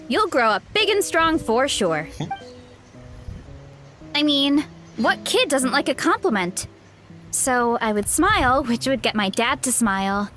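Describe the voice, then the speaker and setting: Sweet cheerful young game girl from a farm, high pitched, friendly n reassuring, bit of music behind. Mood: fond, cheerful. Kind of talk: video game voice acting.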